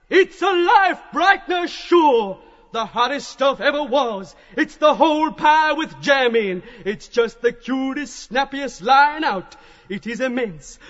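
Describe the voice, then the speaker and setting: A man's voice: very high-pitched, a bit bright, pitched above the performer's own voice, heavily acted. A man voicing a fervent evangelist prophet who hawks salvation in folksy, hectoring showman's patter, in an audiobook drama. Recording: muffled audio in a long echoing hall.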